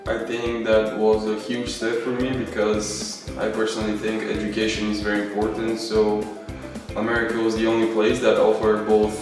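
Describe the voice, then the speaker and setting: A man's voice: on the low side, monotone, normal speed, distant mic. A man in his twenties with a Bulgarian accent, a volleyball player talking casually about himself in an interview. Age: 20s. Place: beach outdoors and trophy room indoors. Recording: very echoey sound with music behind.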